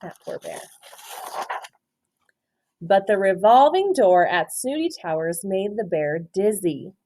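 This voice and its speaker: A woman doing an interactive picture-book read-aloud for children, expressive and often asking questions. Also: medium pitch. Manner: expressive, asks questions and pauses for answers. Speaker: storytime reader, teacher.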